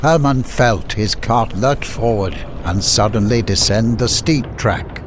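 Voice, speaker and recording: Low-pitched man narrating a story. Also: measured pace, a little hiss or hum. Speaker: audiobook narrator. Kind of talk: scripted fantasy story narration.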